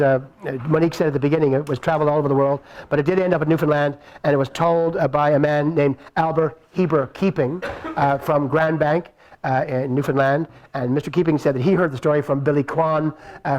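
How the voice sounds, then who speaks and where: A man telling a folk tale live as storyteller and puppeteer, lively and chatty with a folksy manner. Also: on the high side, rough recording. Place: live stage before an audience. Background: faint audience and applause.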